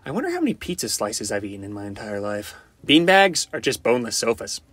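A man's voice: mid-range voice, wildly swooping pitch, fast, fairly bright tone, slightly rough, no echo, voice pushed down, full character voice. A man voicing a cocky, flirty, goofy wisecracking survivor for fan-made video game lines, in a playful, theatrical, quippy manner. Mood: musing, deadpan. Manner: playful, theatrical, quippy, often sing-song.

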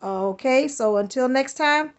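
A woman's voice: high voice, unhurried. A woman, chatting thankfully as she shows off groceries in her home kitchen, filmed on a handheld phone. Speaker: vlogger. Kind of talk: casual home vlog. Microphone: handheld phone camera.

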